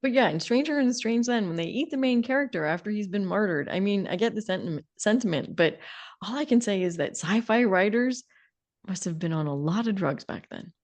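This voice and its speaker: A woman's voice: mid-range voice, expressive, a little fast, a little mellow. A woman co-hosting a podcast, talking about books in a candid, informal, wry way. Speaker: podcast co-host. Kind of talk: casual book discussion.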